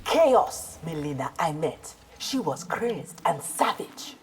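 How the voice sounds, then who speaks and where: Low-voiced, proud armored ninja woman. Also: very animated, takes it slowly, a little hiss or hum, heavily acted. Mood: firm, respectful. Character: proud, principled young female ninja warrior in high-tech armor. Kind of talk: dramatic fighting game dialogue.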